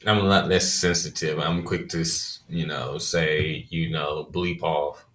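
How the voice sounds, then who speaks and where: Man, very deep pitch, reflective podcast co-host, calm measured delivery. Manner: measured, reflective, gives advice. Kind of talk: casual reflective chat.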